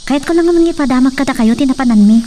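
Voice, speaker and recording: An actress playing a worried but determined daughter in a radio drama, earnest and resolute, with a northern Philippine accent. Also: female voice, high voice, racing, warm tone, distant mic, a little hiss or hum, lightly acted. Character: determined, worried daughter searching for her father.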